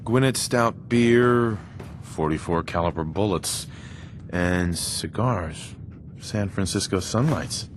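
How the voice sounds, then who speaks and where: A man's voice: low voice, takes it slowly, background noise. An actor playing a determined father, an earnest and plain-spoken video game hero. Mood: observant. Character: determined father searching for his kidnapped son, game hero.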